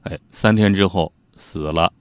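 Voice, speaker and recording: A man telling old tales informally, in an animated, colloquial way with teasing asides to his listeners. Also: low voice, measured pace, clean tone, no echo. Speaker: storyteller, host. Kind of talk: informal storytelling narration of old ghost tales.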